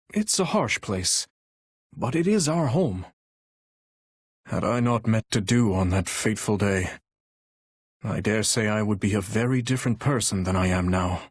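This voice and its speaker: A young warrior king for a video game, gentle and earnest yet burdened. His husky voice moves expressively through courtly, measured phrases. The sound is dry and close, without room. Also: fairly low pitch, no room sound. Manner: formal, measured, courtly diction. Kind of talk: video game character voice lines.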